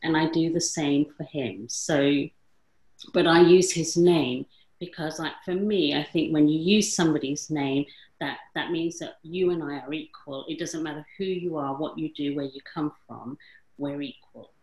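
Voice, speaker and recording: A woman's voice: low-pitched, moderate pace, a little mellow. A woman from England hosting a conversation, talking reflectively with lots of fillers and restarts. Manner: rambling, many fillers and self-corrections. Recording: echoey room sound.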